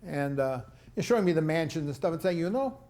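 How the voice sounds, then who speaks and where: A man's voice: on the high side, lively intonation, fast, a little mellow, echoey room. A man giving a rambling talk on foreign policy, with chuckling asides, answering audience questions. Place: lecture hall.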